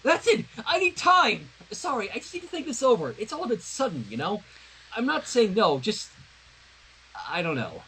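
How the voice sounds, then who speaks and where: A man acts out a flustered, indecisive teenage girl from a fan story. The pitch jumps up high and swings around as the words sputter out urgently. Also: wildly swooping pitch, moderate pace, bright, forceful tone, slight background noise, exaggerated voice, rough recording. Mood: panicked, flustered.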